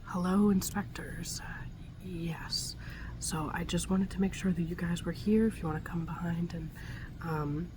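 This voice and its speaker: A woman whispering breathily in a soft, soothing, halting way as she improvises an ASMR roleplay as a gentle scientist. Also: on the low side, fairly even intonation, background noise. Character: gentle scientist tending a robot. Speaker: ASMR performer.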